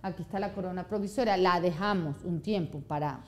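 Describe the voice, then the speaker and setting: Lively woman teaching a dental seminar. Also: lowish voice, fairly quick. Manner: animated, gestures a lot, checks in with "¿ok?". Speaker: orthodontist lecturer. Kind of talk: live seminar presentation. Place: small seminar room with audience.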